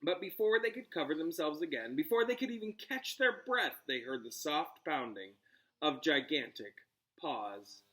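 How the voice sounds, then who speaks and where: A man reading a storybook aloud like a teacher, expressive and dramatic, pausing on key words. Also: fairly high pitch, rough recording.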